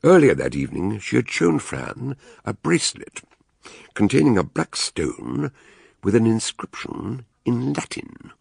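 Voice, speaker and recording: An actor playing an older British detective, deliberate and weighty, narrating his case notes in a radio drama. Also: mid-range voice, big pitch swings, gravelly, a little mellow, breathy edge, no room sound, studio quality, exaggerated voice. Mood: measured, grave.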